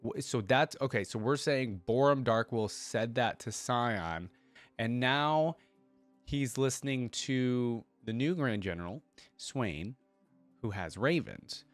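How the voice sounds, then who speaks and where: A man's voice: medium pitch, expressive, slow pace, dry recording, faint music behind. A man giving casual reaction commentary as a video host, thinking aloud in a conversational way.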